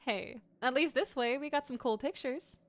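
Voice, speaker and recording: A woman streaming a game reaction, talking in an excitable, giggly, chatty way. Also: sits high, moderate pace, a little hiss or hum.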